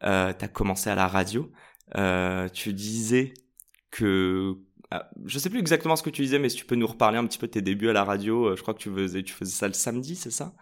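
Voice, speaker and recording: Low-voiced man hosting a podcast. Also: a little fast. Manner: friendly, scripted intro then casual questions. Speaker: podcast host.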